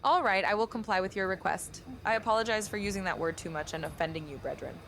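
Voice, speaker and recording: A woman's voice: mid-range voice, fast, a bit bright, slight background noise. A woman's voice reading chat requests and stiff, formal replies, repeating the same odd word of address. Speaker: chat-command voice reading messages.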